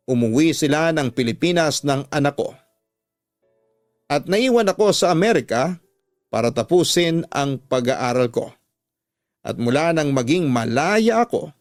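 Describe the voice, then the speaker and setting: A man's voice: on the high side, a little mellow, dry recording, studio quality. A man narrating a love story in the first person, as dramatic scripted radio storytelling. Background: music.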